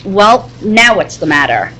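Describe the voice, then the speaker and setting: A woman's voice: medium pitch, big pitch swings, measured pace, a bit bright. Woman playing a sassy reporter, noisy hall. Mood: scornful. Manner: sarcastic, needling. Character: sassy, sceptical young woman newspaper reporter. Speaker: table-read performer. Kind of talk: 1940s radio serial acting, live read. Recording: noisy convention floor ambience. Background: convention hall noise.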